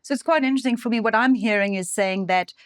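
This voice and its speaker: A woman's voice: on the high side, fast, no room sound, clean studio sound. A woman from South Africa hosting a money podcast, chatting and thinking aloud as she puts questions to her guest. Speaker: podcast host. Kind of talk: conversational interview.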